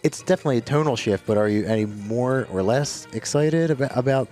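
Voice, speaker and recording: A man's voice: medium pitch, fairly quick, mellow, faint music behind. A man hosting a podcast, asking long, winding questions with many restarts in a casual chat. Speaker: podcast host.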